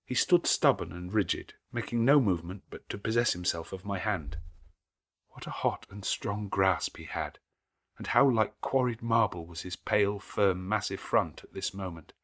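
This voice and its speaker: A man reading literary narration for an audiobook in a measured, dramatic storytelling manner. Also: lowish voice, fairly bright tone.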